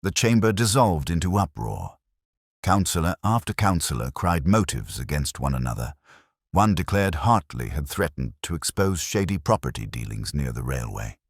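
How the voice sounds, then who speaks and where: A man giving a measured, literary scripted narration of a detective story. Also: extremely low pitch, sing-song, breathy edge, dry recording, clean studio sound.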